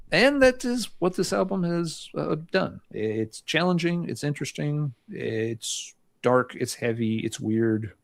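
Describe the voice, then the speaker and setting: A man on a podcast talking through an album review in a thoughtful, measured way, restarting now and then. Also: medium pitch, dry recording. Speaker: podcast co-host.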